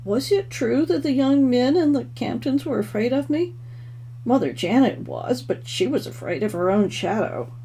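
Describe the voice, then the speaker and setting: A woman's voice: fairly high pitch, lively intonation, normal speed, mellow. A woman author reading her own first-person novel expressively, as a storyteller. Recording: clearly noisy home recording.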